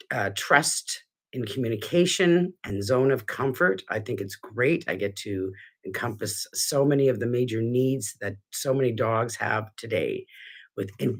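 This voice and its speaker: A middle-aged man, a dog trainer, talking earnestly to camera from his living room, stuffy and congested, rambling with restarts. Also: mid-range voice. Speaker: dog trainer hosting a weekly show. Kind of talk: casual talk to camera.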